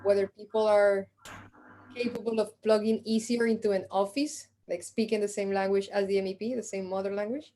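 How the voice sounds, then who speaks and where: A woman moderates a panel over a video call, briskly passing questions from one speaker to the next. Her voice is mellow and warm, at a middle pitch. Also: fairly even intonation.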